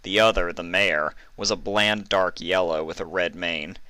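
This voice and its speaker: A man's voice: sits low, steady tone, a little slow, pushed and bright, clear, resonant tone, dry recording. A man reading fan fiction aloud as dramatic scripted story narration.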